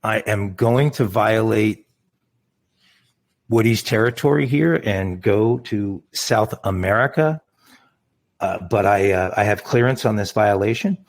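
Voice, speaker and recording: A man co-hosting a crime news podcast, adding dry, wry comments in a measured way. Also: lowish voice. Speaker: podcast co-host. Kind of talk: casual crime news chat.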